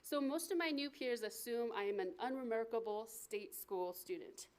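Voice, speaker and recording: A woman with an American accent and a fairly high voice reads her own memoir aloud at a live book event. Her delivery is expressive and deliberate, like an author telling a personal story to listeners. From United States.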